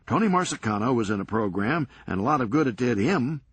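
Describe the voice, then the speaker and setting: A man voicing a cool-headed, reckless ringleader in a crime story, brisk and unbothered. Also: mid-range voice, very animated, slightly rough, no echo, voice pushed up. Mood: reassuring. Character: unflappable, cheerfully reckless male ringleader.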